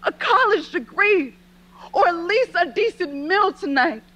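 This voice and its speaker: A young woman delivering spoken word poetry in an impassioned, deliberate, dramatic way. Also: extremely high pitch, lively intonation, pushed and bright.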